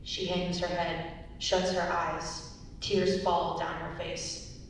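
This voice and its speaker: A woman's voice: little pitch movement, slow pace. A young woman reading scripted narration and stage directions as a narrator. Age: young adult. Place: large echoey indoor performance space. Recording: very echoey hall, distant mic, rumbly noise.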